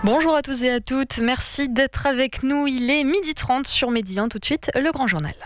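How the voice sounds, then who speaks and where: A woman anchoring a radio news bulletin, reading in a measured, crisp way. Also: high-pitched, music in the background.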